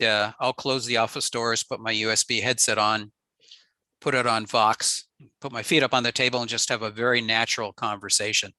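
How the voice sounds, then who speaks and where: Bright-voiced man explaining radio tech. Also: fairly low pitch, no room sound. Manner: relaxed, explanatory, frequent ums and uhs. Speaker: ham radio presenter. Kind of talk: technical slide presentation.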